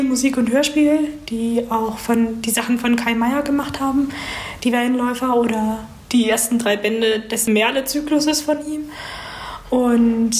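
A woman's voice: on the high side, darkish tone. A woman in her twenties giving casual, chatty answers as a student being interviewed. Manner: chatty, informal.

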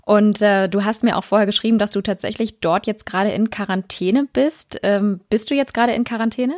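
A woman speaks as a podcast host and interviewer. Her pitch sits in the middle, and the recording is dry, with hardly any room around the voice. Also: steady tone, fairly quick, a little mellow, dry recording.

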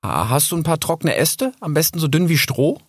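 A man's voice: on the high side, expressive, a little fast, slightly rough, no room sound, clean studio sound, pitched above the performer's own voice. A man voicing an earnest, cautious young male animal in a storybook, his voice raised well above his own.

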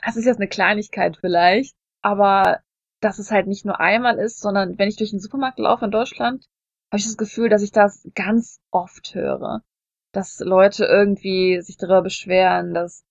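A woman's voice: mid-range voice, no room sound, muffled recording. A woman in casual podcast conversation, chatty and self-deprecating, telling personal anecdotes. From Germany. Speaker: podcast co-host.

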